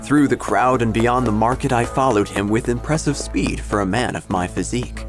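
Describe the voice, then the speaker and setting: A man narrating a scripted first-person story in a theatrical, wry manner with deliberate dramatic phrasing. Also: mid-range voice. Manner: theatrical, wry, deliberate pauses, drops to hushed tones. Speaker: narrator, obituary writer character. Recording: music bed behind the voice. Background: background music.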